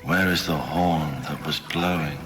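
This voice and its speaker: An actor playing a grave, sorrowful king who recites a lament in a solemn, weary, measured way. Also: very deep voice, over music. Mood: somber, weary. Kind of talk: solemn film verse recitation.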